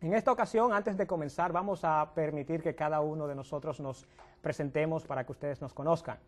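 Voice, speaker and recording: Man, youth pastor host, slightly high voice, halting restarts, studio. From Dominican Republic. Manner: halting, restarts words, addresses viewers at home.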